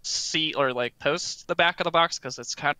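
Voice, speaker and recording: Very bright, clear-voiced man chatting. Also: fairly high pitch, moderate pace, no room sound. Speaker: podcast panelist. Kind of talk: casual chat.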